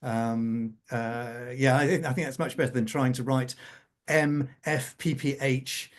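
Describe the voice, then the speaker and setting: A man speaking as a scriptwriter on a panel, explaining things conversationally with halting, repeated phrases. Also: mid-range voice, steady tone. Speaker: radio drama scriptwriter, panel guest.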